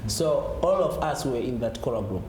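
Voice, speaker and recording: A man with a Zimbabwean accent speaks as an interview guest in a middling pitch. He often restarts and repeats phrases, and the room echoes around him with clear noise behind. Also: expressive, normal speed. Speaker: gospel musician being interviewed. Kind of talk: conversational interview answers.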